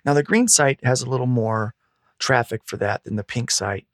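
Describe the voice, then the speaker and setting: A man speaking in a calm, soothing voice, earnestly explaining his plans in a relaxed podcast chat. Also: medium pitch, moderate pace, dry recording, studio quality. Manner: earnest, explains patiently with repetition. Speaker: guest, comic crowdfunding site founder. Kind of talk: casual podcast conversation.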